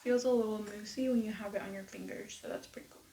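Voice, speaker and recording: A woman losing her voice, chatting casually and rambling through a makeup tutorial with soft near-whispered asides. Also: mid-range voice, fairly even intonation, quick pace, reverberant, slight background noise. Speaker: beauty vlogger. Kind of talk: casual get-ready-with-me makeup chat. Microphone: close mic at desk.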